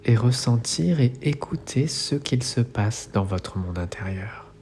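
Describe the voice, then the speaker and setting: A man leading a guided relaxation meditation as an instructor, speaking in soothing, measured phrases. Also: medium pitch, animated. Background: soft music.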